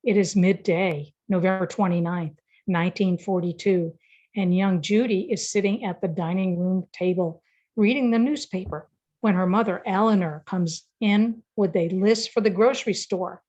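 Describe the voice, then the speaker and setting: A woman's voice: medium pitch, normal speed, a little mellow. Woman narrator on dull, lo-fi audio. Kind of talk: scripted radio play narration.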